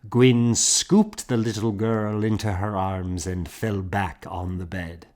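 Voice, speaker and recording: A man's voice: lowish voice, fairly even intonation, slow pace, a little mellow. A man reading a historical novel as scripted audiobook narration.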